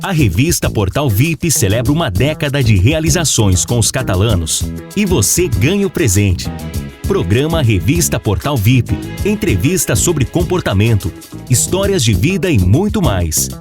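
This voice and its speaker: Brief: Brazilian male ad voice, animated pitch, music underneath. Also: normal speed. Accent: Brazilian Portuguese. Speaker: ad announcer. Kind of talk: radio-style promo voiceover.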